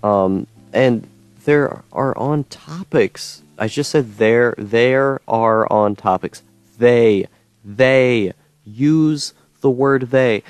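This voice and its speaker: A young man talking to camera in a rambling monologue with a droning, monotone voice, full of ums, restarts and frustrated swearing. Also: medium pitch, extremely slow, clear, resonant tone, dry recording, faint music behind. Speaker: YouTuber. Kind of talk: personal monologue.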